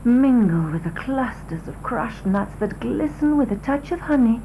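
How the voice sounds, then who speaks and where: A woman's voice: a little high, animated, moderate pace, dark tone, slight background noise, low-quality recording. A woman playing a teasing, leisured wife savouring breakfast cereal in a TV commercial. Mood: breathy, savouring. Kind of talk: TV commercial acting.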